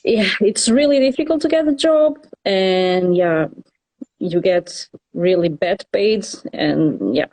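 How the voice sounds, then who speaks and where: A woman from Portugal speaking English, a nurse talking haltingly in a casual interview. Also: medium pitch, a little mellow, poor audio.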